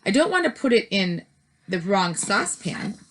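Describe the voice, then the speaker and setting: A woman's voice: medium pitch, big pitch swings, normal speed, rough recording. A woman doing an eager boy-mouse. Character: clever young boy turned into a mouse, eager.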